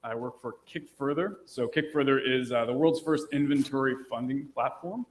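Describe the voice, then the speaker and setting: A man in his thirties from Buffalo, New York, speaking as a panelist on a conference stage, relaxed and joking. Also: medium pitch, moderate pace, poor audio.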